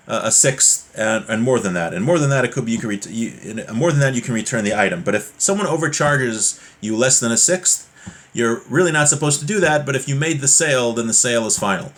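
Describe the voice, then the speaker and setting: Guy giving an informal religious lesson, medium pitched voice, reads the text then explains it like he's just talking. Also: expressive, brisk, fairly bright tone. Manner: conversational, explains with everyday examples. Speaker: teacher of a religious class.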